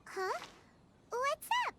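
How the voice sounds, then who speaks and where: A woman voicing a tiny, childlike, chirpy fairy companion in an anime game, with a squeaky voice and music behind her. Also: drawn-out words. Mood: curious, chirpy.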